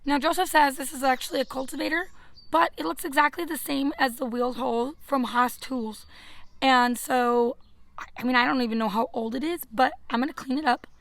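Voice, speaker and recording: A woman with a hoarse, scratchy voice chatting casually and practically about her home garden, outdoors on an earbud mic. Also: sits high, fairly even intonation, brisk, fairly bright tone, no room sound. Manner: chatty, practical, self-deprecating. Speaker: homesteading vlogger. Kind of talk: casual how-to chat. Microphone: wireless earbud mic.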